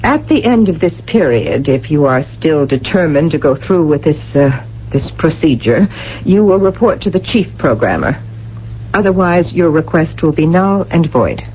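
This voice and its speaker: Officious futuristic bureaucrat. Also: female voice, low-pitched, very animated, moderate pace, slight background noise. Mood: stern, official. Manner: formal, officious. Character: formal, stern bureaucrat of a futuristic society. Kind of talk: 1980 radio drama acting. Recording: dull vintage radio, music behind.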